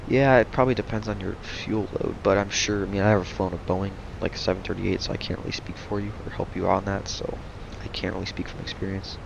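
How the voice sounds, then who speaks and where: A young man with a low, gravelly voice chats through a flight sim stream in a laid-back, rambling way, his voice a little worn as he loses it. The stream audio is muffled, with steady noise behind him. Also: fast, few pauses. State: losing his voice. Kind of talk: casual live-stream commentary.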